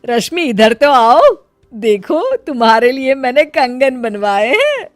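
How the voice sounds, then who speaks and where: A woman voicing a bossy middle-aged mother-in-law in an acted Hindi story, sharp and commanding. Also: sits high, very animated, measured pace, no room sound, faint music behind, pitched above the performer's own voice. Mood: doting.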